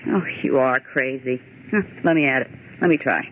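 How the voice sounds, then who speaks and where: An actress playing a brash, scoffing young American typist in 1940s radio horror style, wisecracking and exasperated, on a scratchy old radio recording. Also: female voice, a bass voice, moderate pace, narrow, tinny audio. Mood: exasperated, shouting. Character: brash, scoffing young female typist, sharp-tongued and impatient.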